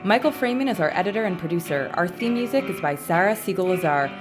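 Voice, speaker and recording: A woman's voice: lowish voice, animated, fast talker, over music. A woman with a Canadian accent, chatting casually as a podcast co-host.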